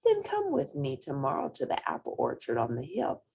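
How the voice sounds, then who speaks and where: A woman voicing a hungry, scheming big bad wolf in a storybook, coaxing and drawn-out. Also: extremely low pitch, wildly swooping pitch, darkish tone, breathy edge, heavily acted. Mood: sly, coaxing.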